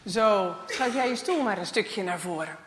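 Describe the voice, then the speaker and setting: A woman voicing a firm, calm man who questions a boy, in an echoey room. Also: mid-range voice, normal speed, background noise, voice pushed down, close to an everyday voice. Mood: stern. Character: stern calm man, shop detective. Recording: echoey room, long reverb.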